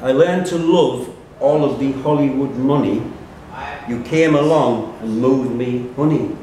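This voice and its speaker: A man's voice: medium pitch, a little slow, dark tone. Echoey man reading pub quiz questions. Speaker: quiz master. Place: pub quiz room, indoors. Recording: very echoey pub room, distant mic, faint noise.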